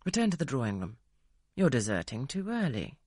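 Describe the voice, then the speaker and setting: Reader voicing a brusque imperious gentleman, husky, dry close sound, blunt questioning. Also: mid-range voice, sing-song, fairly quick, dry recording. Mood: probing. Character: brusque commanding gentleman of the manor. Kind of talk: audiobook character reading.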